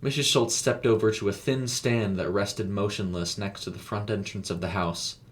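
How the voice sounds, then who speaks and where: A man narrates a scripted story. His voice sits on the low side, and he tells it in a measured, descriptive manner. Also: fairly even intonation. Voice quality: low-pitched.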